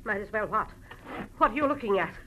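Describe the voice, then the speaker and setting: A woman's voice: medium pitch, lively intonation, brisk, pushed and bright. A woman playing a cornered, proud, bitterly self-mocking society hostess in theatrical 1940s radio drama style. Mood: wary, evasive. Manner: theatrical, emotional delivery. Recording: noisy vintage radio recording.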